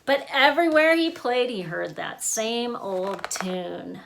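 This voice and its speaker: A woman reading a rhyming children's picture book aloud in an expressive way, punching out the sound words. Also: fairly high pitch, slow, gravelly, background noise. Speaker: author and illustrator reading own book.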